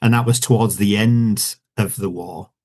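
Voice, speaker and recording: A man's voice: fairly low pitch, steady tone, normal speed, darkish tone. Rambling Yorkshire man. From Yorkshire, England. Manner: rambling, many fillers and restarts, self-deprecating. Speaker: author guest. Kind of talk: casual interview chat.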